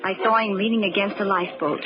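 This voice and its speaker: An actress playing an anxious, protective young stepsister in an old-time radio mystery, earnest and a little bossy, hesitating when worried. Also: female voice, medium pitch, fairly even intonation, fast talker, lightly acted. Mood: tense narration. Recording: muffled, noisy vintage radio recording.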